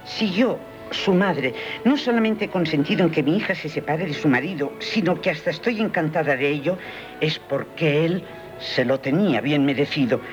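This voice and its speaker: A woman's voice: low voice, animated, moderate pace. An actress playing a stern, disapproving middle-aged mother in a 1970s radio drama, emphatic and sardonic, with a Castilian Spanish accent. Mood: scornful. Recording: dull vintage radio recording, faint music.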